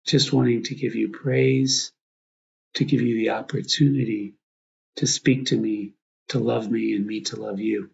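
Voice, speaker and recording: Husky, gravelly man leading prayer. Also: lowish voice, fairly even intonation, dull audio. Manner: reflective, prayerful, pausing in short phrases. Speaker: priest, meditation guide. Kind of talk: guided prayer meditation.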